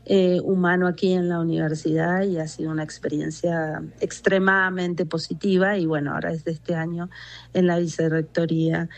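A middle-aged woman with an Argentine accent giving a conversational interview as a research guest, explaining haltingly and often checking with little tag questions. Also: lowish voice, flat delivery. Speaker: interview guest, university research executive and physicist.

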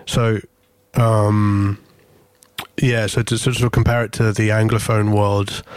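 A man's voice: lowish voice, little pitch movement, takes it slowly, clear, resonant tone, no echo. A man in his thirties from Corfu with a lightly Greek-tinged English accent, giving reflective interview answers and often searching for words. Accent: English with Greek influence. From Greece (Corfu), English mother. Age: 30s. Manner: thoughtful, searching for words, many restarts and fillers. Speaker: interview guest, singer.

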